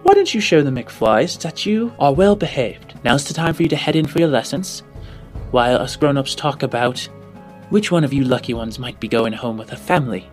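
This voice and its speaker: A man voicing a brisk, polite woman who runs a guarded orphanage in a tabletop game, speaking in a composed, formal, coaxing way. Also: medium pitch, animated, faint music behind, close to an everyday voice. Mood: commanding, sweet.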